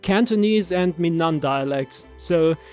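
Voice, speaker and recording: High, clear-voiced man chatting casually. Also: a little slow, a little mellow, faint music behind. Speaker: video host. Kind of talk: casual language commentary.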